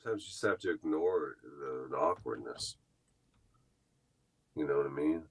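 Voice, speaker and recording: Very deep-voiced Midwestern man co-host. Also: expressive, normal speed, vocal fry, slightly rough, no echo. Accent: American Midwestern. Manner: laid-back, deflecting, joking. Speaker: morning show co-host. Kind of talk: casual chat. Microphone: video call from home.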